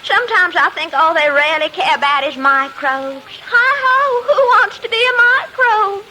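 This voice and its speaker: A woman's voice: very high voice, expressive, unhurried. An actress playing a coquettish, catty lady in a 1940s radio comedy, gushing in a sugary, effusive manner. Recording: faintly noisy old 1940s radio recording.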